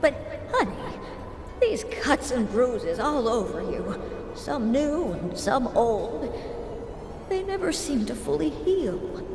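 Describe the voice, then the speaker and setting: Game role: fretting, tender village woman, swooping intonation, slow, echoing over music. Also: sits high, very animated, heavily acted. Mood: worried, tender. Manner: tender, concerned. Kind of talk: video game voice acting. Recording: echoing reverb under loud music.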